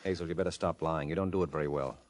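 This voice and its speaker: An actor playing a tough, wisecracking, unflappable private detective in a sardonic, clipped 1940s radio drama style. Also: male voice, very deep voice, fairly even intonation, very fast. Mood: brusque, sardonic. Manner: sardonic, clipped, hard-boiled. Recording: old-time radio recording.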